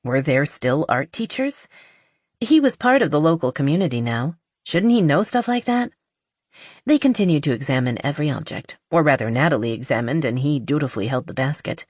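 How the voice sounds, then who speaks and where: A woman's voice: deep voice, sing-song, a little fast, darkish tone, no room sound, clean studio sound. A woman reading a romance novel aloud as a scripted audiobook narration.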